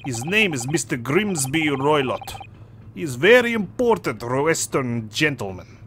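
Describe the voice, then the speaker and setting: A man doing a big proud sailor with a heavy mock Russian accent, speaking in blunt broken English and looking down on everyone. His pitch swings widely, and a steady noisy background sits under the voice. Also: a little slow. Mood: gruff haughty. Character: gruff burly Russian sailor, proud and rude.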